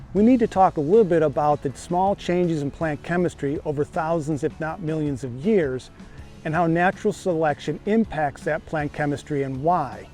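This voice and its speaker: A man hosts a gardening show and narrates from a script. His voice sits a little high for a man, and he explains each point in a steady, measured way. Soft music plays faintly underneath.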